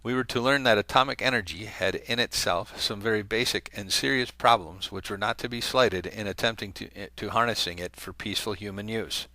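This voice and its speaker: Male channel host, low-ish voice with a forceful edge, reads a letter aloud conversationally, stumbling now and then, dry studio sound. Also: brisk, hardly any pauses, no echo. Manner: conversational, with hesitant fillers and self-corrections. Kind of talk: reading a letter aloud to viewers.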